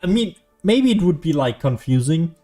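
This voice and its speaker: Mellow-voiced man rambling over gameplay. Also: fairly high pitch, very animated, normal speed, soft background music. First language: German. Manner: rambling, self-deprecating joking. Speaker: video game streamer. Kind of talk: casual gameplay commentary.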